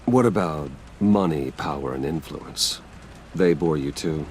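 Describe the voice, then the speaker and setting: A man playing a composed, smooth-talking wealthy man in a video game, measured and dryly guarded. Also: extremely low pitch, darkish tone, slight background noise. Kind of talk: video game character acting.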